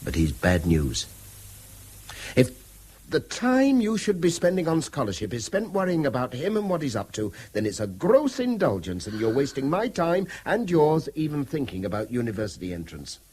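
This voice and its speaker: An actor playing a stern, educated middle-aged English headmaster, formal and lecturing, in a British radio drama. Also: male voice, mid-range voice, wildly swooping pitch, moderate pace, darkish tone, breathy edge. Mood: stern, concerned. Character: stern, educated male headmaster figure, commanding and concerned.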